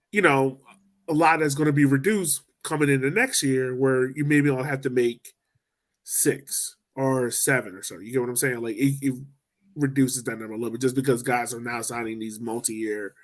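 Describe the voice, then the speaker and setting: A man hosting a casual sports podcast, talking loosely and rambling as he lays out his points. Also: mid-range voice. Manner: loose, rambling, laughs easily. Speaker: podcast host.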